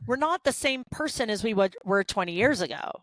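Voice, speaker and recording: A woman's voice: on the high side, lively intonation, brisk, a bit bright, no echo. A woman in her thirties co-hosting a podcast, talking candidly in a casual chat with many fillers and restarts. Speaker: podcast co-host.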